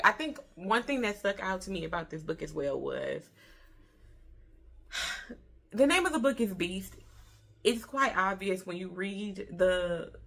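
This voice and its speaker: A woman's voice: mid-range voice, creaky, bright, forceful tone. An American woman in her early thirties giving a casual book review in an informal, chatty way, repeating herself for emphasis. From Detroit, Michigan, USA.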